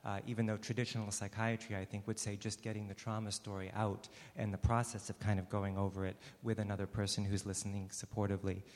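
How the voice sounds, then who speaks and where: A man speaking as a psychiatrist on a panel, measured and academic, often hedging his points. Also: fairly low pitch, flat delivery. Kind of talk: panel discussion. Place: large hall with an audience. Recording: long hall reverb.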